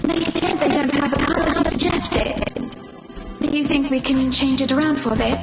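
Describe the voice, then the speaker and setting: A woman's voice: high voice, moderate pace. A woman playing a playful, teasing young woman with a dramatic flair in visual novel dialogue. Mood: concerned. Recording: loud music over the voice.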